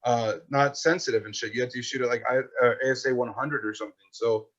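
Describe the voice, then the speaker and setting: A man's voice: mid-range voice, fairly even intonation, quick pace, a bit bright. Dull-sounding young man, rambling and slangy. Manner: rambling, slangy, full of "like" and "dude". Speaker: podcast guest, filmmaker. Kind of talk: casual chat.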